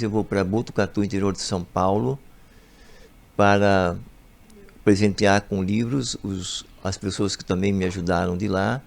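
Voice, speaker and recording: Gravelly low-voiced Brazilian author. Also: male voice, a little mellow. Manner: explanatory, repeats emphatic phrases. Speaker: author and guest. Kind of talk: radio interview answers. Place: radio studio.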